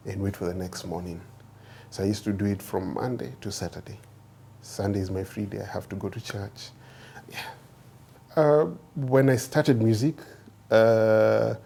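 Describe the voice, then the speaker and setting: A man's voice: on the low side, vocal fry, dark tone, a little hiss or hum. A man with a Kenyan accent telling his personal story in a casual interview, reflective and repeating phrases for emphasis. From Nairobi, Kenya. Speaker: interviewee, groom, chef and musician.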